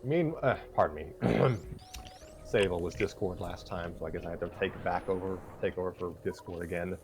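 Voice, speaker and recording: A man's voice: sits low, normal speed, a little hiss or hum. A man hosting a live story reading, switching between scripted narration and casual chat, sometimes stumbling over his lines.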